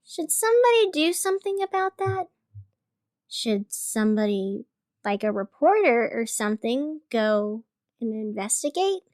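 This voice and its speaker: A woman's voice: a little high, very animated, a little slow, darkish tone, dry recording, clean studio sound. A woman playing a wry, chatty small-town radio host, narrating casually in a scripted audio drama over music. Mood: dry, musing.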